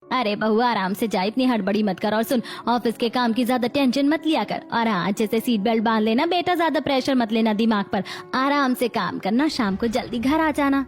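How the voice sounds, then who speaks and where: Scheming middle-aged mother-in-law played by a woman, high voice, flattering and chatty, moral-story drama. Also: brisk, natural voice. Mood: coaxing. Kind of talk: acted Hindi family story dialogue.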